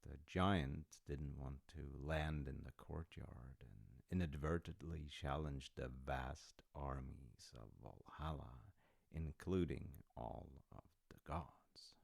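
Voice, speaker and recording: A man playing an easygoing dad in an audio roleplay, telling a story conversationally with wry, hesitant asides and a gravelly voice. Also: very deep voice, slow, heavily acted. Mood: amused, storytelling. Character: easygoing dad telling his grown child Norse myths.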